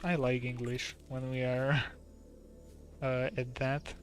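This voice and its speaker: A soft-spoken streamer with a Slovak accent talks slowly and calmly. His pitch stays very even, and faint game music plays underneath him. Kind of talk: casual gameplay commentary.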